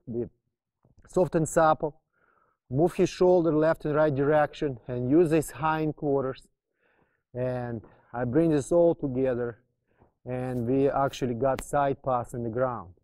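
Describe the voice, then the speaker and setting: Mellow-voiced non-native male horsemanship instructor. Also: on the high side, unhurried. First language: not English. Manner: emphatic repetition of key terms, non-native grammar. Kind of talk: instructional explanation.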